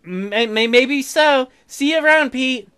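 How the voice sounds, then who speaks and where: A man's voice: very high voice, measured pace, pushed and bright, clean tone, soft background music, pitched above the performer's own voice, heavily acted. A man voicing a perky young colonist wife in a visual novel, chatty and curious. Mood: teasing.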